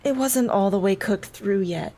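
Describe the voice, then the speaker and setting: A woman's voice: medium pitch, moderate pace, dark tone, close to an everyday voice. A woman playing a loving mother in an audio drama, speaking in a reflective, sentimental way. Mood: gentle, motherly. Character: loving, wistful mother recalling camping with her daughter. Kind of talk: audio drama narration and dialogue.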